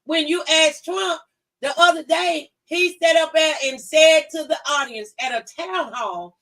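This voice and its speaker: A woman with a high voice hosts an opinion talk show, sounding a little off the mic. Her delivery is conversational and folksy, with emphatic repeated phrases. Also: a little slow, a bit bright, recorded from a distance, low-quality recording.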